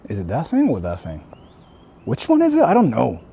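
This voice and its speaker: A young man with a husky, mellow voice that swings up and down freely as he chats. He talks casually to his stream viewers, tossing out loose asides while he explores outdoors. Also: mid-range voice, huge pitch swings, fairly quick. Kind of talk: casual live-streamed vlog. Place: outdoors exploring a rural area.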